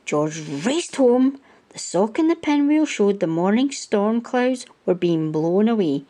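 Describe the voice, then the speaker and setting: A woman reading a children's picture book aloud as a storytime host, with expressive storyteller phrasing. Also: on the high side, unhurried.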